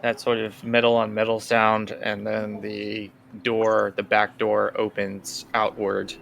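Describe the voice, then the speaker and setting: A man working as game master, improvising scene narration for a tabletop role-playing game in a halting, thinking-aloud way. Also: on the low side, monotone, a bit bright, lo-fi sound. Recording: clear background room noise.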